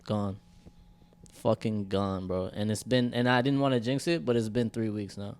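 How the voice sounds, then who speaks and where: Low, flat-voiced young man chatting casually. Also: moderate pace, clean tone. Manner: loose, profane, slangy storytelling. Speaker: podcast co-host. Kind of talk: casual chat.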